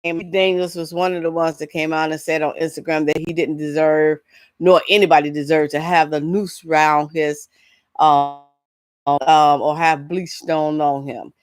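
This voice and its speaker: A woman hosting a casual entertainment vlog, talking in a rambling, halting, informal way. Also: low voice, little pitch movement, dry recording, clean studio sound. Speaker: vlog host. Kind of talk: casual chat, entertainment gossip.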